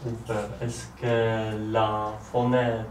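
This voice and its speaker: Slow, hesitant man with Polish accent. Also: fairly low pitch, monotone. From Poland. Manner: hesitant, halting, with fillers. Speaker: French learner. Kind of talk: answering lesson drills. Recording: roomy, distant mic, faint noise.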